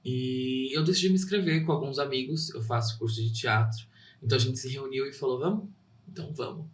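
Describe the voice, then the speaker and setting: A young man talks about his theater work as a guest, earnest and heartfelt. His mid-pitched voice sounds roomy and a little distant from the mic. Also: brisk, slight background noise. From São Caetano, Brazil. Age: young adult. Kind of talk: interview answers.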